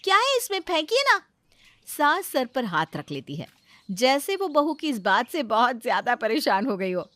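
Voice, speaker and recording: A woman's voice: fairly high pitch, very animated, moderate pace, fairly bright tone, dry recording. A woman reading a scripted family story as a dramatizing narrator.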